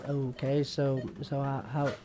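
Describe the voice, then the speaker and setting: A man plays a sulky young slacker horseman of the apocalypse in an audio comedy. His voice is soft-edged and mellow, and he rambles in halting, slangy fragments. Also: medium pitch, monotone, a little hiss or hum, natural voice. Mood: confused, defensive. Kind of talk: comedic audio drama dialogue.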